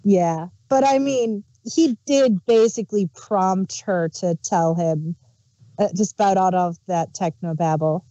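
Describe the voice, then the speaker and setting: A woman co-hosting a casual review chat about an audio drama, agreeing often and speaking in a slow, easy manner. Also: mid-range voice, mellow, no room sound, dull audio. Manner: slow, agreeing, giggly asides.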